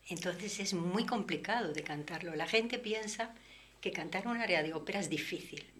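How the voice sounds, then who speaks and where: A woman singer, guest in an interview, explaining her art at length in a relaxed, reflective way. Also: on the low side, normal speed, a little hiss or hum. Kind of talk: relaxed conversation.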